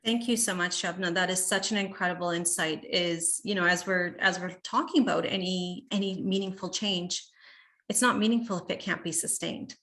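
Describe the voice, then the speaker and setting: A woman's voice: on the low side, moderate pace, fairly bright tone. A woman moderating a panel over a video call, speaking hesitantly with many "um"s and restarts, thanking each speaker.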